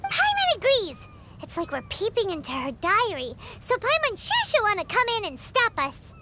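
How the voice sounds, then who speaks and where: Squeaky, excitable little fairy girl. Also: moderate pace, noisy background, full character voice. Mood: cheeky. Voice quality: squeaky, childlike. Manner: excitable, refers to herself by name. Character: small childlike excitable fairy companion girl. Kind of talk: video game voice acting. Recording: music behind the voice.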